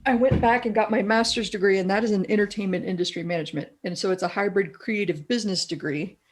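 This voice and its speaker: Raspy-voiced woman telling stories. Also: quick pace. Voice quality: deeper raspy voice. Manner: animated storyteller, laughs often. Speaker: guest, copywriter. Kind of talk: casual interview chat.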